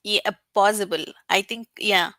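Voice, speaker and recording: A woman from India, who speaks English as a second language, chatting casually as a guest, pausing often with fillers. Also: mid-range voice, sharp tone, no room sound, studio quality. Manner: hesitant, many fillers. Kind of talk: casual chat.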